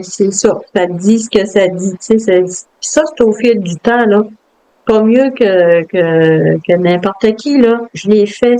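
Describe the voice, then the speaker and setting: A woman with a Quebec French accent, reflective and halting, with many fillers and restarts as she talks. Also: medium pitch, dark tone, rough recording. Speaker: guest. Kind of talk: casual interview talk.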